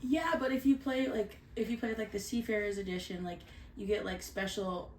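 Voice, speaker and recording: A woman's voice: mid-range voice, normal speed, darkish tone, slight background noise. Chatty Canadian woman in a roomy space. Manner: chatty, candid, lots of "like" fillers. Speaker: co-host of a board game review show. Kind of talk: casual chat. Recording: roomy echo.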